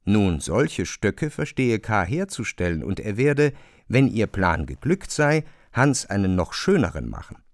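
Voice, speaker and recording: A man narrating a literary radio play in a measured, expressive storytelling manner. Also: on the low side, no echo, studio quality.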